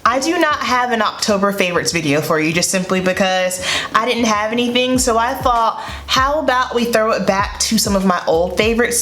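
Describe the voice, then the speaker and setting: A woman's voice: medium pitch, a bit bright. Chatty congested woman in an echoey room. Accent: Southern US. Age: 30s. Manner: chatty, upbeat, repeats words for emphasis. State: congested from a head cold. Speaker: beauty vlogger. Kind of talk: casual makeup tutorial chat. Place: bedroom vanity room, indoors. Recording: echoey room, faint music.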